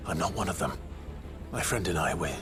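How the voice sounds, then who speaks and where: Casting: terse, wary young fantasy warrior for game cutscenes, very low hushed voice, score underneath. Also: male voice, animated, brisk, music in the background. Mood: gentle, resolute. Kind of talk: video game cutscene acting.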